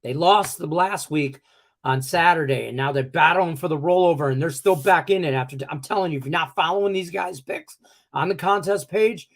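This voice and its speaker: A man's voice: fairly high pitch, brisk. A middle-aged man hosting a sports betting show, chatting loosely and joking, his voice congested and slightly cracky from a cough. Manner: loose and joking, rambling with many fillers. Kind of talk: casual live-stream chat.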